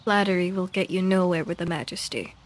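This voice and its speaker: A woman's voice: lowish voice, steady tone, brisk, natural voice. A woman playing a loyal, distrustful fox guard in an audio roleplay, speaking in a curt, indignant manner. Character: stern, suspicious, loyal fox guard to royalty.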